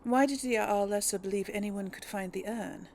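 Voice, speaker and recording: A woman's voice: mid-range voice, normal speed, vocal fry, a little mellow, slight background noise. A woman hosting a gaming video, musing to herself and reading dialogue choices aloud in casual role play. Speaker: gaming video host playing in character.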